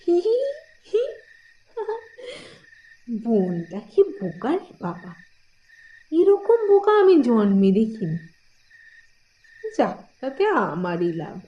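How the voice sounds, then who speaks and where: A woman's voice: mid-range voice, sing-song, slow pace, long pauses, dull audio. A woman voicing a sly, bossy girl, the elder sister in a cartoon, with a coaxing, theatrical manner. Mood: gloating. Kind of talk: cartoon voice acting in a moral tale.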